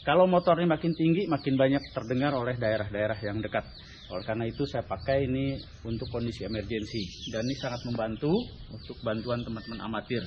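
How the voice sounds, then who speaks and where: Seorang pria berlogat Indonesia menjelaskan perlengkapan secara santai, agak tersendat dan sering menyelipkan penegasan pendek di ujung kalimat. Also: male voice, medium pitch. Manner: explanatory, halting, often tags phrases with "ya". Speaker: amateur radio hobbyist and touring rider presenting his gear. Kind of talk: informal explainer and equipment demonstration. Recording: dull lo-fi sound with light background noise.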